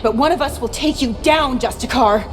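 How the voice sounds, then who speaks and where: An actress playing a bitter, defiant mercenary lieutenant in a video game. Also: female voice, high voice, moderate pace, music in the background, natural voice.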